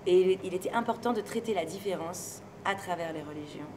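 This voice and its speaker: A woman from France being interviewed, speaking in a relaxed, thoughtful and hesitant way and laughing easily. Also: lowish voice, fairly quick. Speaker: interviewee, singer and stage performer. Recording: noisy location recording with ambient sound.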